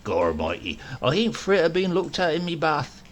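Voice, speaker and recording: A man's voice: fairly high pitch, very animated, normal speed, a little hiss or hum, pitched above the performer's own voice. A man voicing a cantankerous, blustering old country great-uncle with a rural English accent in a comic tale. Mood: brazen, unbothered. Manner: blustering dialect protests. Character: cantankerous old rustic great-uncle, blasphemous, defiant, roguish.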